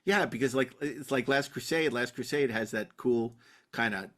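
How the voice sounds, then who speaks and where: A man co-hosting a podcast, chatting casually about films in an animated way, often repeating and stammering over his words. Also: mid-range voice, normal speed. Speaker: podcast co-host.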